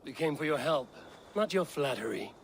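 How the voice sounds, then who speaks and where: An actor playing a defiant, blunt Viking warrior in a video game, terse and firm with a threatening edge. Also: male voice, fairly high pitch, animated, moderate pace, slight background noise, close to an everyday voice. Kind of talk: dramatic video game acting.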